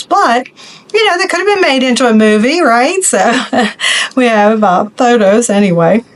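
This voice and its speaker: Middle-aged lady going hoarse, kinda high voice, rambly chatty craft talk with lots of ums. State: losing her voice. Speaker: craft video host. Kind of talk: casual show-and-tell of crafts.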